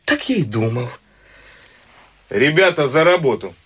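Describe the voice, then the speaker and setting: A man's voice: fairly high pitch, very animated, unhurried, noisy background. A man voicing a stern, officious agent collecting unpaid furniture, speaking formally and emphatically. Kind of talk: radio play acting.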